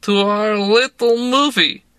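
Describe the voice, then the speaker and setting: A man reading an audiobook voices a drunk young Russian actress with a Russian accent, speaking slow broken English in a dramatic, moody way. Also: sits high, fairly even intonation, sharp tone, clean tone, dry recording, studio quality, pitched above the performer's own voice, full character voice. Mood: tipsy. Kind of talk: audiobook character voice.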